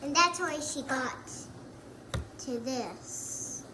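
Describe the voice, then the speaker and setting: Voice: high voice, drawn-out words. A young child improvising a story as the storyteller of a puppet show. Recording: noisy homemade recording.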